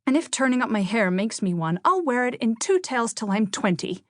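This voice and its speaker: A woman's voice: a little high, big pitch swings, normal speed, dry recording, studio quality, voice pushed up. A woman voicing a tomboyish, bookish teenage girl with a blunt, emphatic, grumbling manner in an audiobook. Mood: defiant.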